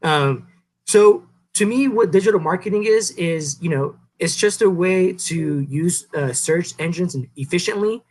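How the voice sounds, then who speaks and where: A man in his thirties co-hosting a podcast, explaining things in a relaxed, rambling way with many fillers. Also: sits high, measured pace, low-quality recording. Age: 30s. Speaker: podcast co-host. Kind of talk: casual podcast chat.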